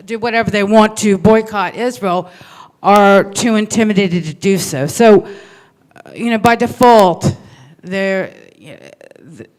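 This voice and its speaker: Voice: mid-range voice, smooth. An audience member asking a question at a bookstore talk, hesitant, with many fillers and restarts. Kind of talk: public Q&A question. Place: bookstore event room.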